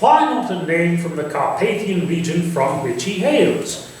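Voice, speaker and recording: A man's voice: sits high, very animated, unhurried. A man playing an urbane, teasing, proud Victorian English nobleman in a staged reading, articulate and dryly probing in a theatrical way. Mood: amused, musing. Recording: very echoey room, distant mic, hissy noise.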